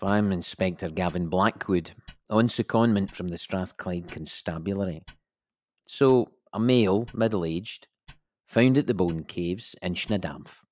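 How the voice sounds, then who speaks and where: An actor playing a middle-aged Scottish police inspector who gives measured, wry, matter-of-fact testimony in an audio drama. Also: male voice, sits low, darkish tone. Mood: dry, wry. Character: dry, methodical male Scottish police inspector.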